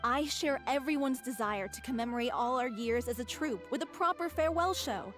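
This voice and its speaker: A woman's voice: high voice, lively intonation, brisk, fairly bright tone, soft background music. A woman playing a determined, devoted young stage actress with an indignant streak in a video game. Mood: earnest, confident.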